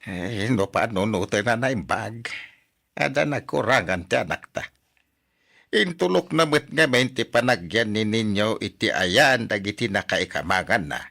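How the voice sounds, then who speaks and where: A man plays a concerned old Ilocano grandfather in a radio drama. His voice is gravelly yet bright and forceful, stern and grumbling. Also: lowish voice, expressive, measured pace, exaggerated voice.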